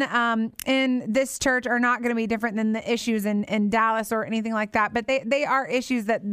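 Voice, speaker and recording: A woman's voice: a little high, fairly bright tone, clean tone, no echo, clean studio sound. A woman hosting a radio talk show, chatting casually and rambling with lots of fillers and restarts. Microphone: studio microphone.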